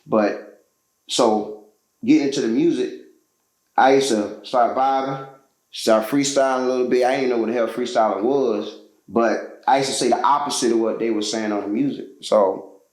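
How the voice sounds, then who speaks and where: A man's voice: medium pitch, normal speed. Man, interview guest, slangy, rambling, echoey room. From Georgia, US. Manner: relaxed storytelling, loose slangy talk with many fillers. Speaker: interview guest, musician and producer. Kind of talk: casual interview.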